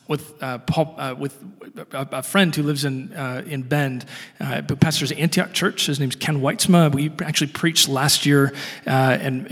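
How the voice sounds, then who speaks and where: Man pastor stumbling thru a guest intro, lots of ums, long church echo. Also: fairly high pitch. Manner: halting, many fillers and restarts. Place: church sanctuary.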